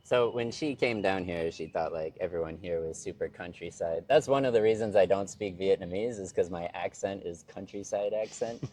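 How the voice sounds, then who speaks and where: Low-voiced man chatting loosely. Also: moderate pace, few pauses. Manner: relaxed, rambling, heavy use of "like". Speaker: interview guest, expat living in Vietnam. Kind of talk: casual conversation.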